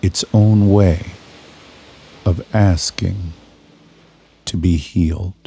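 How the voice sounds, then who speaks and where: Very slow, deep-voiced man guiding meditation. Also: steady tone, gravelly, soft background music. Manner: hushed pauses between short phrases, measured and soothing. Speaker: guided meditation and hypnosis narrator. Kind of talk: slow scripted guided meditation.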